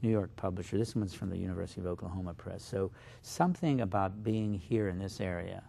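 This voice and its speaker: A man whose first language is Slovak, a poet guest giving thoughtful, halting interview answers with many fillers. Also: sits low, vocal fry, dark tone.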